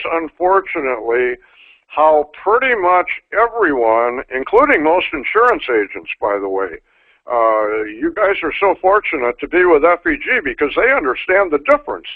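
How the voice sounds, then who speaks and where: An older man with a slightly high voice, reading a book aloud and stopping for folksy asides to his trainees. The sound is narrow and tinny like a phone line, and dry with no room around it. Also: no room sound. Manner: folksy asides, frequent restarts. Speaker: insurance trainer.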